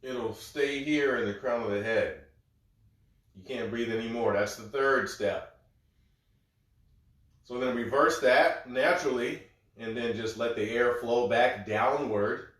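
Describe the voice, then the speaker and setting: A man guiding a breathwork session in a calm, gentle, step-by-step way, a little congested. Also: mid-range voice, measured pace, long pauses. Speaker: breathwork instructor. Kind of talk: guided breathing instruction. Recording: very echoey room.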